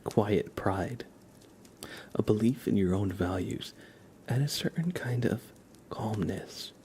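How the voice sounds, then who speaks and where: A man hosting an ASMR livestream in a soft, hushed voice that often nears a whisper, chatting and reading in a laid-back, gently joking way with halting pauses. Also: on the low side, animated, slight background noise. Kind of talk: ASMR, casual chat and tarot reading for viewers.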